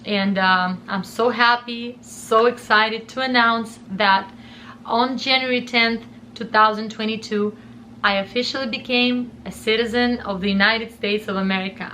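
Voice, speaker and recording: A woman's voice: a little high, normal speed, bright, forceful tone, slight background noise. A young woman from Russia with a Russian accent, telling a personal story in an earnest, emotional way and often repeating herself. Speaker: vlogger.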